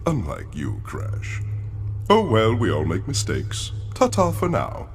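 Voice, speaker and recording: A man's voice: on the low side, huge pitch swings, slow, soft background music, exaggerated voice. A man voicing a pompous, scheming mad scientist villain in a video game, theatrical and sneering. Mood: smug gloating. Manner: theatrical, sneering, self-satisfied. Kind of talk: video game cutscene acting.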